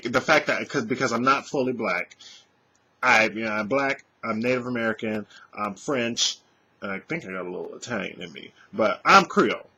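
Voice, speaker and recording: A man in his thirties, a vlogger, telling a casual story to his webcam in a small room at home, animated and swearing freely. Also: medium pitch, slightly rough. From California. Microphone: webcam at desk distance.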